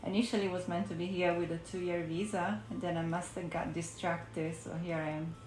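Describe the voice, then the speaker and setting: A woman's voice: fairly low pitch, little pitch movement, moderate pace, darkish tone. A woman with an Italian accent, a native Italian speaker, chatting casually as a podcast guest in a wry, joking manner. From Italy. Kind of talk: casual chat. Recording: roomy echo, faint background noise.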